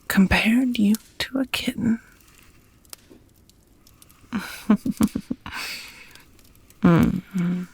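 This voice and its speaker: A woman playing a seductive, doting vampire roommate in an intimate ASMR romance roleplay, whispering softly with teasing, formal endearments. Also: medium pitch, no echo, full character voice. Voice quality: soft, breathy whispering. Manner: slow, sultry, formal wording with pet names.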